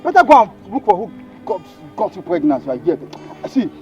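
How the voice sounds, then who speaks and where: A man plays a young lover whose voice swings widely between low wheedling and sharp yelling. He has a soft, mellow tone. The sound is slightly muffled, with music underneath. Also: sing-song. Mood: furious. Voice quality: loud and rapid, shouting accusations. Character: young man, Victor, denying he fathered a child. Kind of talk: Nollywood-style skit acting.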